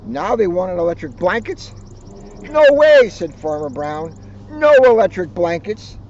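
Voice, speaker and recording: Older man reading a storybook, animated delivery. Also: high-pitched, measured pace. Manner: dramatic reading with joking asides. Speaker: police officer reading aloud. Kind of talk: expressive children's story read-aloud. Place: outdoors on an open grassy lawn. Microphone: camera a short distance away. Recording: muffled outdoor recording with background noise.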